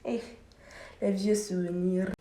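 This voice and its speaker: A woman's voice: mid-range voice, little pitch movement, slow, very noisy. A young woman talking to camera in a casual vlog while doing her makeup, telling her story in an emphatic, repetitive way. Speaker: beauty vlogger. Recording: echoey room.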